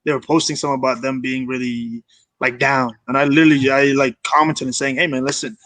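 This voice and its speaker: A man's voice: mid-range voice, fairly even intonation, fast, fairly bright tone, no room sound. A young man from Kenya chatting casually and earnestly as an interview guest. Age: young adult. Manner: earnest, informal. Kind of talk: casual chat.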